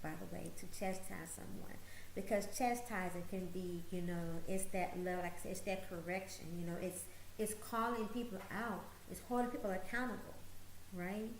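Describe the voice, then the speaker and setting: A woman's voice: mid-range voice, a little hiss or hum. A woman in her late forties hosting a talk, who lectures emphatically and repeats her points for stress. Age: 40s. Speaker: host. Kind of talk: motivational talk show monologue. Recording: roomy echo, faint ambience.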